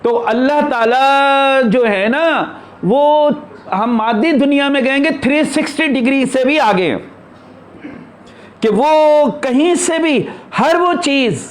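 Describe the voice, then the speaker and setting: High-pitched man teaching at a slow pace. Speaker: spiritual teacher. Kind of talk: unscripted religious discourse.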